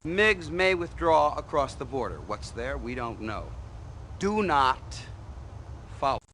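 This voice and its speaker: Stern, mid-pitched military briefing officer. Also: male voice, animated, takes it slowly, a bit bright, slight background noise. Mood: grave. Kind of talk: dramatic military briefing acting.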